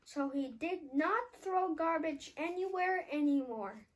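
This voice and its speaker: Little kid telling a puppet show story, really high voice, takes it slow. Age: child. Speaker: puppet show presenter and narrator. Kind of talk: scripted story narration for a children's puppet show.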